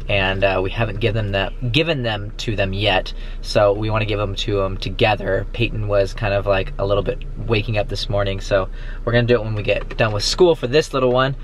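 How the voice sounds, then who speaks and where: A man's voice: lowish voice, steady tone, fairly quick, slight background noise, low-quality recording. A man in his thirties chatting to a handheld camera in a parked car, upbeat and talkative as a family vlogger. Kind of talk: casual family vlog. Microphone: phone at arm's length.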